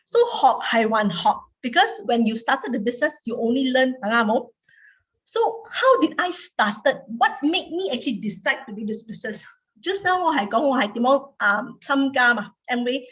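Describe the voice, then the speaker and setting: A woman's voice: on the high side, expressive, moderate pace, fairly bright tone, slightly rough, rough recording. A young woman giving a motivational seminar talk as a guest speaker, switching between Cantonese and English and repeating phrases for emphasis.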